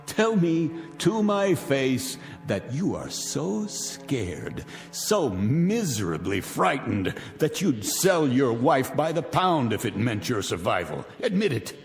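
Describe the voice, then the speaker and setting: A man's voice: medium pitch, very animated, takes it slowly, few pauses. A middle-aged actor playing a vindictive rich man taunting his guests in 1960s television drama style, mocking and grandiloquent. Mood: sardonic, taunting. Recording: vintage TV soundtrack, reverberant, music behind.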